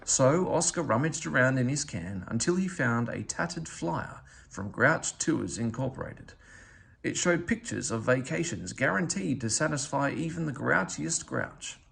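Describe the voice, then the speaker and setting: A man reading a children's storybook aloud in an expressive narrating style. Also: mid-range voice, measured pace, vocal fry. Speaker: storybook reader.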